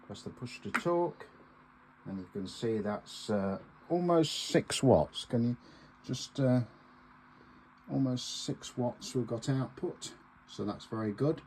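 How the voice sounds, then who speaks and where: A man giving a casual hands-on demonstration as a radio hobbyist host, speaking in a halting, hesitant way with pauses. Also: medium pitch, darkish tone, slight background noise, rough recording.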